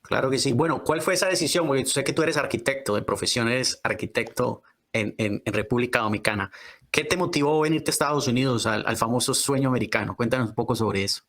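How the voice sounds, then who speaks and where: Fast-talking man, podcast host. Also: medium pitch, fairly bright tone. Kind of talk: conversational podcast interview and promotion.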